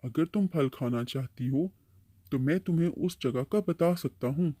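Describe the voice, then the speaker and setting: Man voicing a mellow, patient uncle tree. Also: on the high side, moderate pace, no room sound, close to an everyday voice. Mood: inviting. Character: kindly, advising old uncle tree of the jungle. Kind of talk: Hindi-Urdu cartoon storytelling dialogue.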